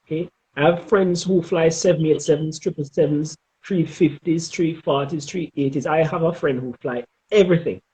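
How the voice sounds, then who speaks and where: A middle-aged man with a Jamaican accent giving advice in an interview, speaking emphatically and deliberately and often checking in with "okay?". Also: on the high side, warm tone, rough recording. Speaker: interview guest, former air traffic controller.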